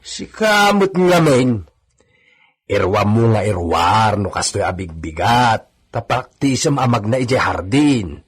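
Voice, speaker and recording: A man's voice: on the low side, sing-song, slow, husky, full character voice. A man with an Ilocano accent acting a gossipy, obedient male villa stable hand in a radio drama. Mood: gossipy, concerned.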